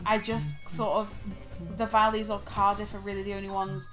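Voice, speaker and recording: A woman's voice: mid-range voice, level tone, moderate pace, music in the background. A woman with a northern English accent from East Manchester, chatting casually and teasing while streaming a game, with frequent swearing. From northern England. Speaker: streamer co-host.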